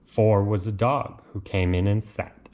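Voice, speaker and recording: A man with a fairly low voice reads a children's picture book aloud. His delivery is slow and lilting, with a casual touch. There is light noise and clicking in the recording. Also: steady tone, darkish tone. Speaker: reader of picture books. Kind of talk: read-aloud children's storybook narration with casual asides.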